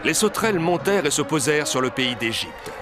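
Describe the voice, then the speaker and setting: A man's voice: a little high, big pitch swings, quick pace. A man giving scripted narration of a story as narrator. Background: music, outdoor ambience effects.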